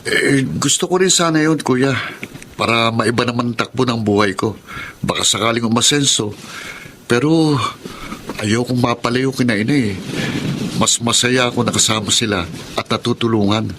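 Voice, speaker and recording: A man acts out a good-natured young Filipino fisherman in a radio drama, loyal to his family. His voice is mid-pitched and earnest, with faint background noise. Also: normal speed, pauses often, fairly bright tone, lightly acted.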